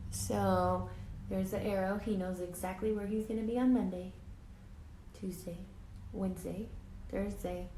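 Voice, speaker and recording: A woman reading a children's picture book aloud like a teacher, deliberate and emphatic, drawing out words and adding explanatory asides. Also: medium pitch. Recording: echoey room, faint background noise.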